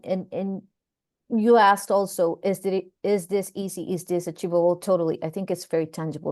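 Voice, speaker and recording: A woman's voice: on the low side, dark tone. A woman from Costa Rica, a former deputy minister, speaking on a webinar panel with many fillers and restarts.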